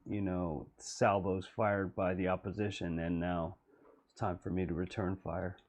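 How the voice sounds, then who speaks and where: Low, flat-voiced man reminiscing. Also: a little hiss or hum. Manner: low-voiced, slow and wistful. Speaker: musician commenting on his own music video. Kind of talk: reflective commentary.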